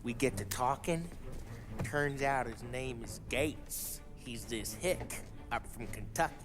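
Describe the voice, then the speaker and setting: An actor playing a brash, excitable American gangster henchman in a game cutscene, talking in cocky street slang. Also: medium pitch, slow, close to an everyday voice. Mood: storytelling, sly. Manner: slangy, cocky, animated street-tough talk. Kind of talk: video game cutscene acting. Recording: music behind the voice.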